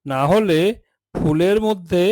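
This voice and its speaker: A man's voice: high voice, slow, darkish tone. Man doing an obedient woodcutter's kid, cartoon fairytale, earnest, takes his time, no room echo. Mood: wondering, hopeful. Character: eager, obedient young son of a woodcutter. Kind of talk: cartoon fairy-tale dialogue.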